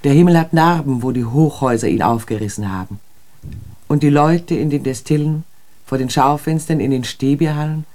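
An actress playing a disillusioned, educated woman in a literary radio drama, speaking in a measured, poetic and pensive way. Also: rumbling low, warm tone. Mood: somber, reflective.

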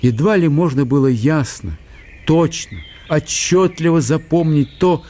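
A man's voice: a little high, unhurried, dark tone. A man narrating a literary historical radio drama as a scripted storyteller.

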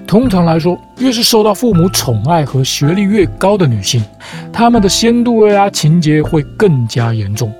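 A man hosting a children's show, explaining ideas in a calm, patient, teacher-like way. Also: high voice, moderate pace, faint music behind. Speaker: host, the show's dad figure.

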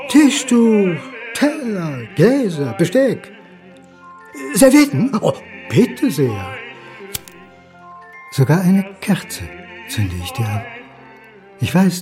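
Slow-talking, lonely eccentric son. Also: male voice, high voice, darkish tone, exaggerated voice. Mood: excited, fussy. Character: lonely, eccentric grown son awaiting his father. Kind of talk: German radio play acting.

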